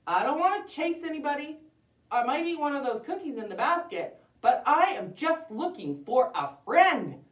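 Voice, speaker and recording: A woman's voice: sits high, very animated, normal speed, pitched above the performer's own voice. A woman voicing a big bad wolf in a children's story, sly and lonely, sweet-talking and reassuring. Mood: pleading. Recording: roomy echo, distant from microphone.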